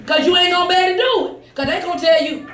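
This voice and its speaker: A woman preaches in a high voice, picked up from a distance in an echoey room. Her delivery is exhortatory and emphatic, moving between pointed conversational asides and forceful, raised declarations. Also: very fast, over music. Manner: exhortatory, emphatic, swings from hushed asides to shouts. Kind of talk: fervent sermon with scripture reading and conversational asides. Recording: echoey room, distant mic, faint noise.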